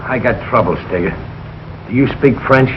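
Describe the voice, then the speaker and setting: An actor playing a blustering, jealous working-class American husband in a 1940s radio sitcom, with folksy, exasperated grammar-bending talk. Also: male voice, mid-range voice, moderate pace, natural voice. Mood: dejected. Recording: dull, noisy old radio broadcast.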